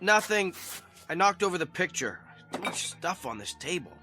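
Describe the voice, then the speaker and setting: An actor playing a bewildered, uneasy husband in a radio drama. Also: male voice, high-pitched, very animated, moderate pace, sharp tone, full character voice. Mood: uneasy, confused. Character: bewildered, panicky husband waking up, unsettled by his reflection. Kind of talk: modern radio drama acting. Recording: music under the voice.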